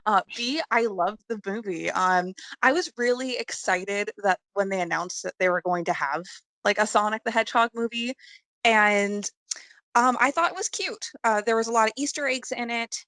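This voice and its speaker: A woman's voice: mid-range voice, moderate pace, a bit bright, dry recording. Female podcast guest, mid-range voice, chatty and giggly, casual talk, dry recording. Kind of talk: casual chat about games and films.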